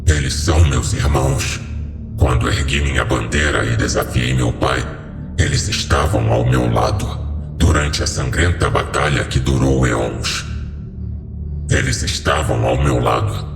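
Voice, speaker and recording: A man voicing an ancient fallen angel, solemn and grandiose, in a slow audio horror story delivery with cavernous reverb. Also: steady tone, gravelly, heavily acted. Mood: sorrowful. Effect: cavernous echo and reverb on a lowered voice. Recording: echoing hall reverb over music.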